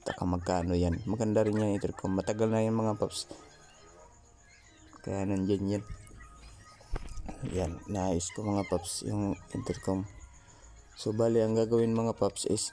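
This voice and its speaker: A man's voice: on the low side, fairly even intonation, a little slow, pauses often, mellow, soft background music. A man with a Filipino accent narrating a casual how-to vlog, talking informally to viewers as his buddies. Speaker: vlogger.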